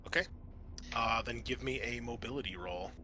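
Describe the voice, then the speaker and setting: A man working as game master, narrating a roleplaying session in a halting, conversational way. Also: medium pitch, pushed and bright, a little hiss or hum.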